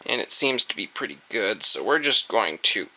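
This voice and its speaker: A man's voice: on the low side, big pitch swings, moderate pace, sharp tone, rough recording. A man casually demonstrating a hands-on repair, thinking aloud as he works. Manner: thinking aloud while working. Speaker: host of an antique radio repair video.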